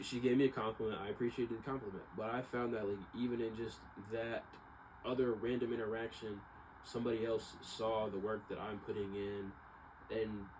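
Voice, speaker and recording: A man talking casually and unscripted, in a rambling, self-doubting way that circles back and repeats. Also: fairly low pitch. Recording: clearly noisy ambient background.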